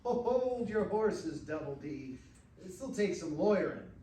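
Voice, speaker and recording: Man as a prickly, slow-talking Western banker. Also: sits high, sing-song, mellow, voice pushed up, full character voice. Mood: wary, prickly. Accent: American. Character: cautious, prickly small-town Western banker cousin. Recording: roomy, distant stage recording, faint noise.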